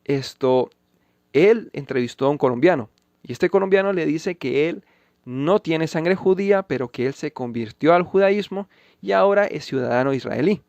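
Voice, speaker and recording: Measured man with a gravelly voice. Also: fairly high pitch, animated, darkish tone, no echo, lo-fi sound. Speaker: channel host refuting a pastor. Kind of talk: scripted commentary with scripture reading.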